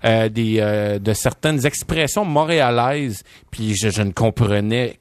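A man's voice: fairly low pitch, unhurried, clean tone, no echo. Québécois man, casual podcast guest, rambling, dry close mic. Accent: Québécois French. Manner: rambling, many fillers and restarts.

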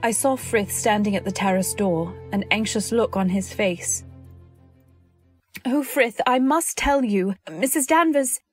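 An anxious, earnest wife played by a woman narrates in old-time radio style. Her delivery is deliberate and dramatic, in a medium-pitched voice. Music plays faintly behind her. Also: breathy edge. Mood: pleading.

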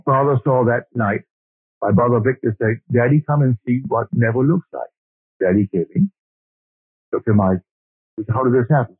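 A man's voice: mid-range voice, breathy edge, no room sound. An older man from Barbados giving an earnest spiritual lecture, telling stories and quoting scripture and poetry. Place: lecture hall. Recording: old lo-fi tape recording, phone-like band.

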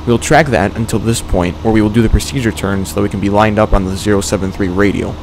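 A man's voice: fairly low pitch, steady tone, fast, noisy background. A young man, a student pilot, giving an explanatory voice-over for a flying video. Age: young adult. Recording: voice over background music.

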